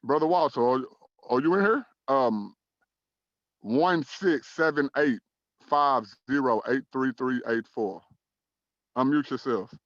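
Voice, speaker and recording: A man in his thirties from Dallas, Texas, hosting an online talk show in a measured, earnest way, with frequent "um" and "uh" fillers. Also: medium pitch, no room sound, dull audio. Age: 30s. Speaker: host.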